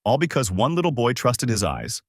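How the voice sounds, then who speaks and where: A man's voice: mid-range voice, very animated, normal speed, dry recording, studio quality. A man narrating a dramatic story, voicing a grave male investigator who denounces corruption. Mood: grave, indignant. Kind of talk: narrator reading story dialogue.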